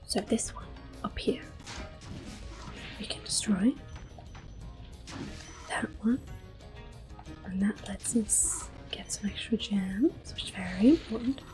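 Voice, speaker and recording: A woman giving gentle, unhurried, soft whispered ASMR commentary on a game as the host. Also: mid-range voice, music in the background.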